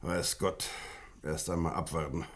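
Deep-voiced sober official. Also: male voice, little pitch movement, unhurried. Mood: sober, doubtful. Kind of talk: German radio mystery drama acting.

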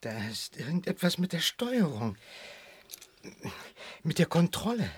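A man's voice: on the high side, big pitch swings, measured pace, husky, no echo, exaggerated voice. An actor playing an anxious, stranded husband and father in a science-fiction radio drama, fretful and urgent. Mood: uneasy.

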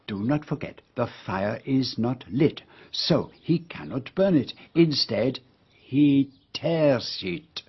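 An astute Belgian detective in a radio drama. His French-accented voice is husky, with formal, precise phrasing that savours each explanation in a fussy, teasing way. The recording is a little dull and lo-fi. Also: mid-range voice, expressive, slow pace, no echo, heavily acted. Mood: dramatic explaining.

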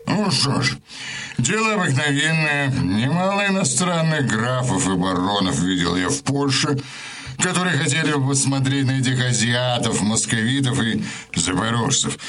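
A man plays a scornful, greedy guard in a radio play. His voice is bright and forceful, and he speaks with pompous, boastful swagger. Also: medium pitch, animated, moderate pace, natural voice. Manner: boastful, haughty. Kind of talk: theatrical audio drama acting.

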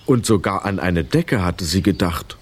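A man narrating a children's radio play in an expressive, dramatic storytelling style. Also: deep voice, normal speed, husky.

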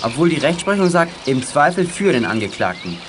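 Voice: medium pitch, big pitch swings, fairly quick, background noise, close to an everyday voice. An actor playing a precocious, level-headed child detective with a precise, bookish manner in a youth radio play. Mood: thoughtful.

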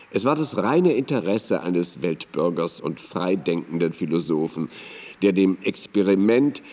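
An actor playing a philosopher's admiring friend in a radio drama, recalling him in an earnest, eloquent, measured manner. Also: male voice, fairly low pitch, warm tone, heavily acted. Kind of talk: radio drama, formal literary recollection. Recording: long hall-like reverb on cassette.

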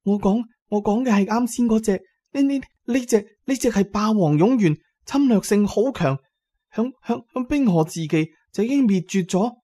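A man's voice: high voice, moderate pace, mellow, voice pushed up. A man voicing a frightened woman scientist who stammers and trembles as she explains, in an audio drama. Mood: terrified.

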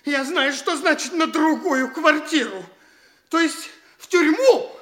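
A very high-pitched young petty official. He drags out his words with stammering, petulant indignation. It is stagy radio comedy, all cowardly bluster. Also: expressive, a little slow, a bit bright, full character voice. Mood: indignant, frightened. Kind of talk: theatrical classic comedy radio acting.

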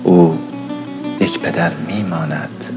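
A man's voice: low voice, fairly even intonation, over loud music. A man giving a poetic radio drama introduction in solemn, dramatic short phrases. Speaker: narrator.

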